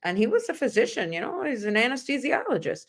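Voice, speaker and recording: A woman's voice: on the high side, very animated, fast talker. A middle-aged woman from Russia hosting a conversational talk show, speaking earnestly and emphatically, straight to her listeners. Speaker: host of a nursing and wellness talk show. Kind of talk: conversational interview, personal anecdotes.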